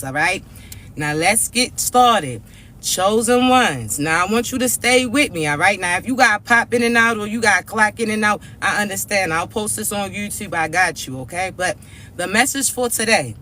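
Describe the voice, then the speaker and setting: A man with a raspy, hoarse voice, giving a slow, emphatic spiritual message straight to his viewers as the channel's host. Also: sits high, sharp tone, slight background noise. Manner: repeats "all right?" and "chosen ones", slow and emphatic. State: hoarse from allergies. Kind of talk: direct-to-camera motivational spiritual message.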